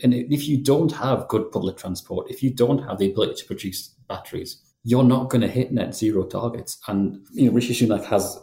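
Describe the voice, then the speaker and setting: Mellow-voiced man with a slight Geordie accent. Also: medium pitch, brisk, hardly any pauses, echoey room. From North East England. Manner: chatty, frequent restarts and repeats. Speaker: podcast co-host, journalist. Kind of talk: casual news discussion.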